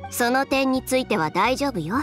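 An actress playing a confident, sharp-tongued young fashion designer in a video game, brisk, matter-of-fact and dryly teasing. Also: female voice, high voice, expressive. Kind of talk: video game voice acting in Japanese. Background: game music.

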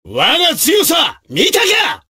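An actor voicing a brave, martial samurai officer in a video game, with gruff, raspy, declamatory delivery in archaic period speech. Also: male voice, very high voice, wildly swooping pitch, slow, heavily acted. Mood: rousing battle cries. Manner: forceful, declamatory.

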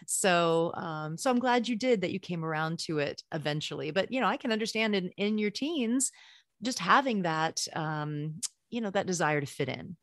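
A woman's voice: on the low side, animated, moderate pace, dry recording. Encouraging woman podcast host, dry sound. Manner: warm encouraging interviewer, frequent affirmations. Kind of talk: casual interview conversation.

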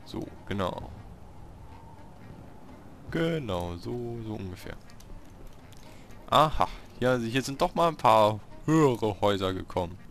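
A man giving casual gameplay commentary, talking to himself in short, halting remarks. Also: fairly low pitch, animated, a little hiss or hum. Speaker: let's player hosting a city-building game series.

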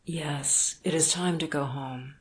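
A woman voicing Gurov, a weary gentleman. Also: steady tone, slow pace. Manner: soft, low, restrained. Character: weary, bored gentleman, soft and restrained. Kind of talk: audiobook character voice.

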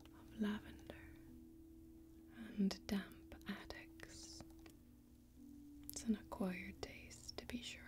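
A young woman acts out an inviting fantasy tavern keeper in an ASMR roleplay. She speaks in a soft, breathy whisper and takes her time over every phrase. Her delivery stays level and soothing, with very little rise or fall. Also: mid-range voice. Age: young adult. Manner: slow, deliberate, lingering phrasing.

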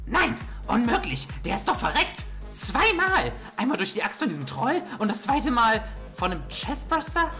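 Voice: medium pitch, sing-song, normal speed, pushed and bright. In a parody audio drama, a performer voices an irritable, self-important male detective, grumbling and theatrical. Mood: dramatic, raving. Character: irritable, self-important old-school male detective named Edgar, given to visions. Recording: music under the voice.

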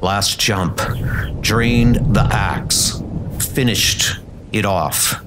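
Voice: sits low, very slow, noisy background. A performer playing a weary, ancient male assassin, narrating his thoughts tersely in a comic book dub. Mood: exhausted. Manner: terse, brooding. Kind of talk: comic book inner monologue.

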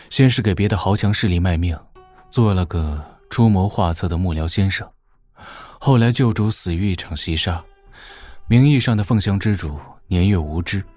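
A low-voiced, fawning warlord. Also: male voice, dark tone. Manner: deferential, colloquial, explanatory. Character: obsequious, shrewd male warlord reporting to his overlord. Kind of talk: audio drama acting.

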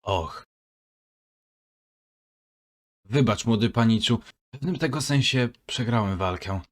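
A man's voice: medium pitch, normal speed, lightly acted. A man voicing a pompous, anxious, greedy royal deputy in a cartoon fan dub, speaking in theatrical, archaic, flowery phrases. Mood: dazed, deferential. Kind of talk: fan-dub comic acting. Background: music.

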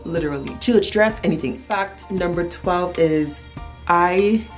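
A woman's voice: fairly low pitch, a little slow, faint music behind. A woman in her thirties with a Trinidadian accent, chatting casually to camera as a playful, emphatic storyteller. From Trinidad and Tobago. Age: 30s. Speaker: vlogger. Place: small furnished room at home, indoors.

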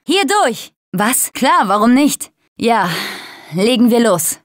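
A woman's voice: on the high side, big pitch swings, slow pace, dry recording, clean studio sound. An actress playing a tough, sarcastic young woman surviving a zombie apocalypse in a dubbed video game. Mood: wry, uneasy. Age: young adult.

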